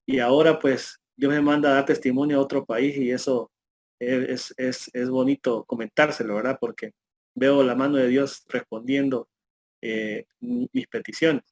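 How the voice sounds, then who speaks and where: A Salvadoran man in his late thirties gives a personal testimony. His voice sits at a middle pitch. He talks spontaneously and haltingly, repeating words and stopping partway through phrases. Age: late 30s. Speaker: guest speaker giving a testimony.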